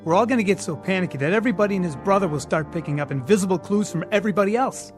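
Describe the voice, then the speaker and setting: An actor playing an irritable, flustered middle-aged husband in a radio play. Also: male voice, high-pitched, expressive, very fast, soft background music. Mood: flustered annoyance.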